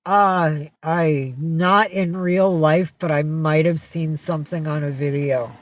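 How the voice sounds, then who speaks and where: A woman's voice: sits low, fairly even intonation, slow pace. A woman hosting a casual livestream from home, talking in a rambling, blunt and sweary way. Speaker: livestream host. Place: indoors at home with cats.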